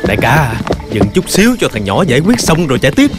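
An actor voicing a servile, hurried wolf henchman in a children's cartoon. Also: medium pitch, very animated, loud music behind the voice, lightly acted. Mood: nervous, coaxing.

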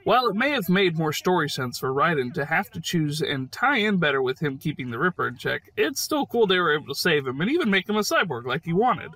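A man's voice: fairly high pitch, big pitch swings, brisk, few pauses, sharp tone, dry recording. A man delivering scripted commentary on a video game.

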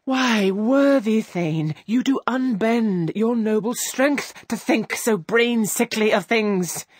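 An actress playing a ruthless, scornful murderous wife in a theatrical Shakespearean drama, intense, commanding and breathy. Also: female voice, on the high side, slow, darkish tone, no room sound, clean studio sound.

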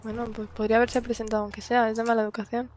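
A woman's voice: a little high, level tone, rapid-fire, a little mellow, a little hiss or hum. A woman playing Dalia, a new taxi driver, chatting sincerely in a roleplay. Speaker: roleplay player.

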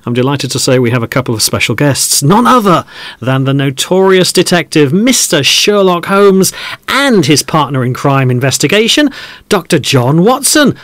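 A man's voice: on the high side, sing-song, moderate pace, a bit bright, dry recording. An actor playing a chatty, easily amazed male football podcast host in a scripted audio drama. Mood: welcoming.

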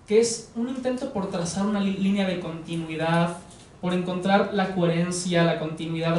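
Young male lecturer, Mexican accent, high voice, measured academic delivery, picked up from far off in a roomy space. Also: a little hiss or hum. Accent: Mexican Spanish. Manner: measured, explanatory, frequent hedging fillers. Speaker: course lecturer. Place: living room with sofas, indoors. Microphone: far camera. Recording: echoey room from far off.

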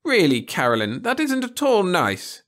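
A man's voice: sits high, huge pitch swings, normal speed, a bit bright, voice pushed up, heavily acted. A man voicing a strict, fussy, complacent woman schoolteacher in a storybook reading, scolding and primly authoritative. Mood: annoyed, scolding. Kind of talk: storybook audiobook character voice.

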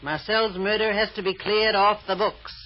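A woman's voice: mid-range voice, fairly even intonation, normal speed, lightly acted. Bossy, scheming woman, played by an actress in vintage radio drama. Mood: calculating. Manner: commanding, clipped, sardonic. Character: shrewd, bossy woman scheming and controlling her tippling companion. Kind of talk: old-time radio drama acting. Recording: dull, faintly noisy old radio recording.

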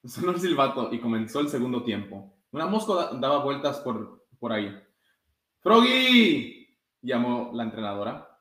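A man's voice: mid-range voice, sing-song, normal speed, a bit bright. A man in his twenties reading a children's story aloud, calling out the characters' exclamations. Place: indoor room with blinds, sitting. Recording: roomy echo.

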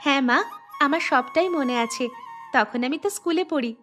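A woman voicing a young married daughter in a cartoon who speaks quickly and pleads. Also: high-pitched, a bit bright, faint music behind, voice pushed up. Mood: reassuring. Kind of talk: cartoon voice acting.

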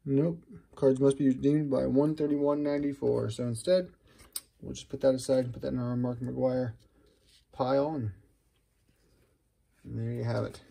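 A middle-aged man with a husky, gravelly voice, chatting casually and musing aloud as he hosts a card-opening video. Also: mid-range voice, steady tone, normal speed, pauses often, mellow. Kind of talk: casual unscripted commentary while handling cards. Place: indoors at a wooden table.

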